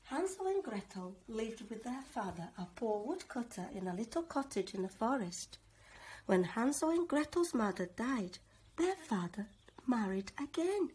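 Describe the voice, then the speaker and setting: A woman reads a children's storybook with lots of expression. Her voice is high and lively, with a slightly husky edge. She takes her time over each phrase. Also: low-quality recording. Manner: slow, animated, dramatic. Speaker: teacher reading a storybook aloud. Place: living room, on a sofa.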